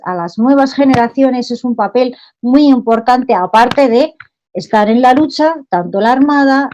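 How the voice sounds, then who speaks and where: A woman from Palestine, an activist speaking earnestly at an online panel over a video call microphone, pausing often with "eh" and restarting her sentences. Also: a little high, a little mellow, highs cut off. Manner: earnest, fervent, with frequent "eh" pauses and restarts. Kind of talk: impassioned talk at an online panel.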